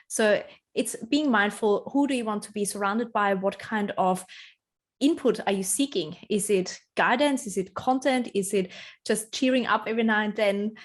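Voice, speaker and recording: Woman, non-native English speaker, interview guest; earnest, reflective, ordinary mid-range pitch. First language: not English. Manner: reflective, earnest, laughs often. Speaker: interview guest, branding strategist. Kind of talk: conversational interview answers.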